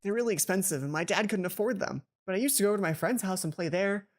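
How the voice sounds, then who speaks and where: A woman's voice: medium pitch, animated, fast talker, close to an everyday voice. A woman voicing an eager but nervous teenage schoolgirl in a visual novel, hesitant and polite. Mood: chatty.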